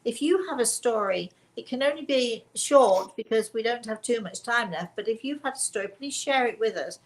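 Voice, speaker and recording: A woman's voice: a little high, expressive, normal speed, rough recording. A woman hosting a spiritual show, chatting casually and emphatically with a guest and viewers, often repeating herself. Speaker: host of a spiritual story and question show.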